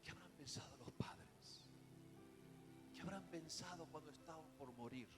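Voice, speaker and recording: A male preacher with a Rioplatense Spanish accent delivers a sermon in a high-pitched voice. He is dramatic, leaning on rhetorical questions and emphatic repetition. His voice rings through a long hall reverb, with clear music playing behind it. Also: normal speed.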